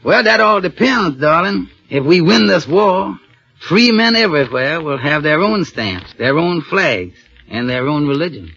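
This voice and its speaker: A man's voice: on the high side, animated, measured pace, creaky, pushed and bright, slightly rough, dry recording, exaggerated voice. An actor playing a patient, earnest father in 1940s radio style, speaking in a folksy, slightly hesitant way. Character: patient, gentle, earnest father, working man. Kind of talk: 1940s radio drama acting. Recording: dull old 1940s radio broadcast.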